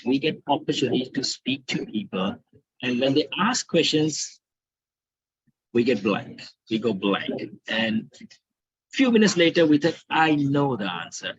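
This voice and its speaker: A man's voice: mid-range voice, a bit bright, breathy edge, no echo. A middle-aged man from India, a guest speaker, giving a training talk over a video call and telling stories with rising emphasis. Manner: earnest, storytelling with rising emphasis. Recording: slightly dull online call audio.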